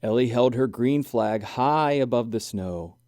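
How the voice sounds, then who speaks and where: Male storybook reader, expressive and sing-song, unhurried pace, close dry sound. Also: medium pitch, no room sound. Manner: animated, deliberate, sing-song rhyming delivery. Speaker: children's book reader. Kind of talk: expressive storybook read-aloud.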